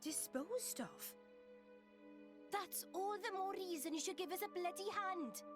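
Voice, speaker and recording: A brash young woman companion from a video game with a high voice. She is blunt, teasing and impatient, yet loyal, speaking over prominent music. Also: measured pace. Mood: puzzled, indignant. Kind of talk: video game cutscene acting.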